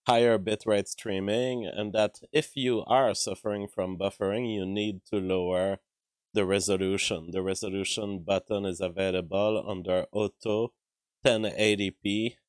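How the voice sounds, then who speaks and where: A man hosting a live stream, hoarse from a cold, talking in a halting way with many fillers and throat-clearing. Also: lowish voice, fairly even intonation, no echo. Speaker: livestream show host. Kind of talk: casual live-stream commentary reading chat. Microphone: streaming microphone.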